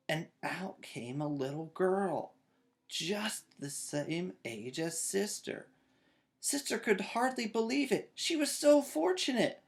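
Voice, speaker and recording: A man's voice: fairly high pitch, creaky. A man in his thirties narrating a children's storybook aloud. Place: small child's bedroom, indoors.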